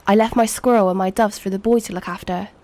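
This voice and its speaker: A woman's voice: medium pitch, fairly even intonation, fast talker, warm tone, natural voice. A woman acting a bitter, homesick country character in a literary drama.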